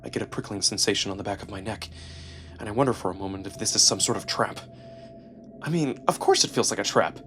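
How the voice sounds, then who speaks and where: A man narrating a first-person story dramatically, with expressive, deliberate pauses. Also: fairly low pitch, slight background noise. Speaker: narrator and performer. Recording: music behind the voice. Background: music.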